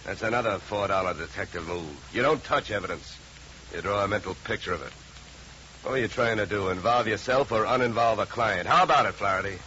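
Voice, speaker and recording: An actor playing a shrewd, wisecracking private detective in clipped, sardonic 1950s radio style. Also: male voice, on the low side, fairly quick, pushed and bright. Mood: wry, probing. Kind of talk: 1950s hardboiled radio acting. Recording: dull, hissy 1950 radio recording.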